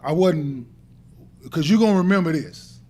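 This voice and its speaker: A man's voice: fairly high pitch, lively intonation, normal speed, a little mellow. A middle-aged man, a podcast guest, talking in blunt casual slang and stuttering through restarts. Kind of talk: casual chat. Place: podcast studio.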